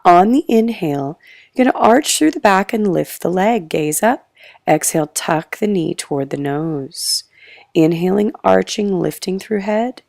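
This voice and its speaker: Hoarse gravelly Australian yoga teacher. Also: female voice, lowish voice, unhurried, no echo, studio quality. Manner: calm, breath-paced cueing, trails into soft whispers. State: hoarse, croaky voice. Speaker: yoga instructor. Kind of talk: guided yoga class instruction.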